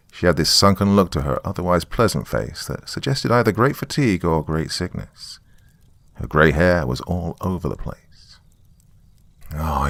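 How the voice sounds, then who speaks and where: Haunted male writer, performed by a male narrator: low, dry close sound, wry first-person horror confession. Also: vocal fry, a bit bright, no echo, full character voice. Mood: wry, reminiscing. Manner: musing, wry, conversational asides. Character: weary, frightened male writer recording a confession on tape.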